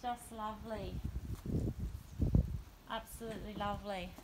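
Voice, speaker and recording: A woman's voice: medium pitch, steady tone, a little slow. A young Australian woman showing viewers around a house and garden, listing features in short, admiring phrases while walking. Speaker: house tour presenter. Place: house and garden, indoors and outdoors. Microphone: handheld phone camera. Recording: echoey rooms, noisy outdoors.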